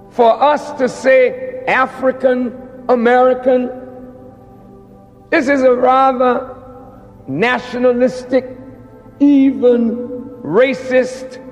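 A man giving a public lecture like a preacher, deliberate and emphatic, with repeated phrases and rhetorical questions. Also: extremely high pitch, flat delivery, soft background music. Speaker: preacher and orator. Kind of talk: public lecture, oratory to an audience. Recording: dull, reverberant hall recording.

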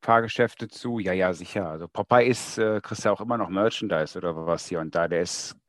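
A man's voice: low voice, a little fast, fairly bright tone, dry recording, clean studio sound. A man taking part in a casual podcast chat as a panelist.

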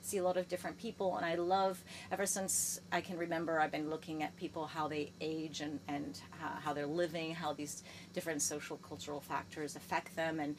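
A woman's voice: sits low, little pitch movement, a little fast, noisy background. An American woman in her fifties, a guest chatting enthusiastically in a podcast interview, often restarting her phrases. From United States. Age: 50s. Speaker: guest, gerontologist.

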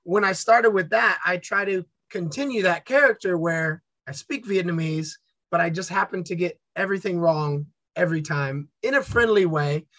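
A man chatting casually as an interview guest, telling stories in an upbeat, animated way. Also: high voice, moderate pace, no echo, low-quality recording. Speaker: guest content creator.

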